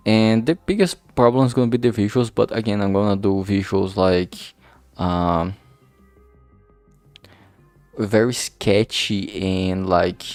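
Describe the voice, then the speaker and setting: A man talking casually and haltingly in a rambling vlog, informal and self-deprecating, with some swearing. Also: on the low side, a little mellow, no room sound. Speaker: indie visual novel creator and vlog host. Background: background music.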